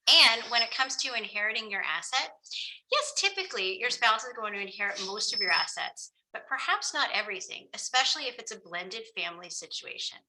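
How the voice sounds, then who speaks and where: Woman, estate lawyer, mid pitch, sharp bright voice, earnest webinar explainer. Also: rough recording. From Florida. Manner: earnest, explanatory, frequent ums. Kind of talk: webinar presentation.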